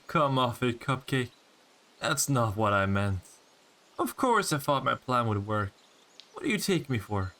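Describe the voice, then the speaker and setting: Audio drama vampire, played by a man: male, smug and sardonic flirt, creaky gravel in a middle register. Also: mid-range voice, sharp tone. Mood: exhilarated, teasing. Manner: teasing, sardonic, swearing banter. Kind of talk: scripted roleplay audio drama.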